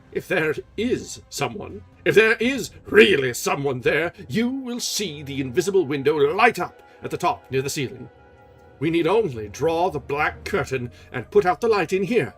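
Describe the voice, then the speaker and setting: A man voicing a furious, menacing monster of a man in a loud, snarling voice for a storybook reading. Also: a little high, husky, pitched above the performer's own voice, heavily acted. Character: furious menacing male monster, jealous captor.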